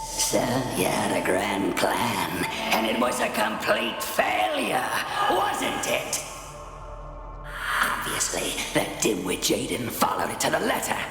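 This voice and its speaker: A woman's voice: rumbling low, big pitch swings, slow, echoey room, big-room sound, music in the background, heavily acted. A woman voicing a mocking, volatile demonic alter ego with a sneering, theatrical, taunting delivery in a video game cutscene.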